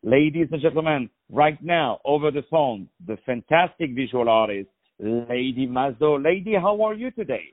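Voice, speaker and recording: A man's voice: mid-range voice, expressive, normal speed, narrow, tinny audio. A man hosting a radio interview, effusive and full of praise, often repeating his words as he talks. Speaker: radio host.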